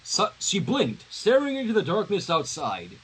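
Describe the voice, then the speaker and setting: A man narrates a fan-written story for a livestream. His voice is high for a man and husky, with intonation that moves around a great deal. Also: huge pitch swings, moderate pace, poor audio. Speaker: fan fiction reader on a livestream. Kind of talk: animated story narration with casual asides.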